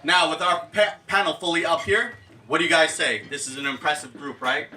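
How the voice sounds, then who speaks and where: A man's voice: fairly high pitch, fairly even intonation, fairly quick, sharp tone. A man moderating a live panel event, introducing speakers in an upbeat, scripted way. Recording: distant mic, faint background noise.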